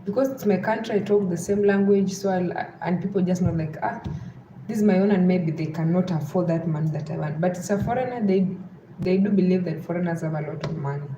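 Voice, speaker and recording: A woman's voice: on the low side, brisk, highs cut off. Kenyan woman livestreaming in an echoey room. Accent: Western Kenyan. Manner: repeats pleas to subscribe over and over. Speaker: livestream host. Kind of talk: casual livestream chat. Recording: echoey room with background noise.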